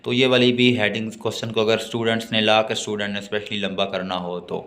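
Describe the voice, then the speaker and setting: A man with a Pakistani accent lecturing on notes, reading aloud haltingly and then explaining. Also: fairly low pitch, level tone, fairly bright tone. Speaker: law lecturer.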